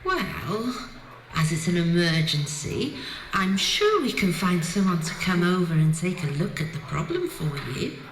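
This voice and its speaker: A woman's voice: on the low side, sing-song, unhurried. A woman playing a breezy, unbothered receptionist for a fairy service in a pantomime, politely sing-song. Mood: breezy politeness. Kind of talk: pantomime comedy, customer service patter. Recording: echoey, faintly noisy live stage recording.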